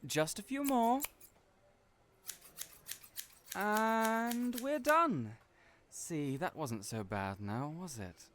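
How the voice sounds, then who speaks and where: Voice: medium pitch, expressive, takes it slowly, a bit bright, slight background noise. An actor in an audio roleplay playing a cheerful, chatty hairdresser who is theatrical, effusive and playfully teasing. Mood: cheerful, soothing.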